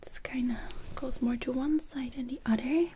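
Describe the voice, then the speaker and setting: A young woman speaking in a breathy, hushed whisper in short instructional phrases, as in an ASMR roleplay, close to the microphone. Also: high voice, fairly even intonation, normal speed, slight background noise. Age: young adult. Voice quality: breathy, mostly unvoiced whisper. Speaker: ASMR creator playing a stylist in a makeover roleplay.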